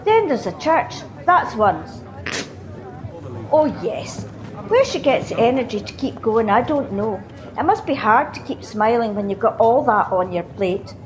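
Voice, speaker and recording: Woman acting a lilting, high-voiced Scottish flower seller. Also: big pitch swings, unhurried, low-quality recording. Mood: admiring gossip. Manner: chatty, gossipy. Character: chatty, admiring female flower seller, sniffly and wistful. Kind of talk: radio play monologue. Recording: street bustle behind voice.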